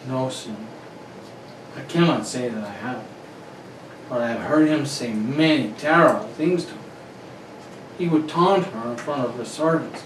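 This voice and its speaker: A man's voice: medium pitch, normal speed, long pauses, close to an everyday voice. A man playing a grave Brazilian manservant who speaks formally and stiltedly, in an old radio mystery. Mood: grave, foreboding. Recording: roomy, distant, clearly noisy recording.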